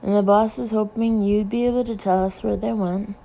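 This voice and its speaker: Lady doing a henchman guy from a storybook, blunt, loyal to the boss, gravelly. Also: mid-range voice, fairly even intonation, a little fast, mellow, muffled recording, close to an everyday voice. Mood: matter-of-fact. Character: bald male henchman, dutiful and blunt.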